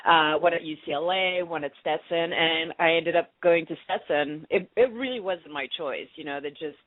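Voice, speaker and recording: A woman's voice: low voice, moderate pace, no echo. A woman from France, answering questions casually as a guest in an interview. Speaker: actress being interviewed. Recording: tinny, phone-like narrow-band audio.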